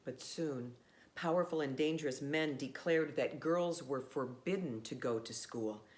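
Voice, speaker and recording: A woman reading a children's storybook aloud in a measured, expressive way with pauses. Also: low-pitched.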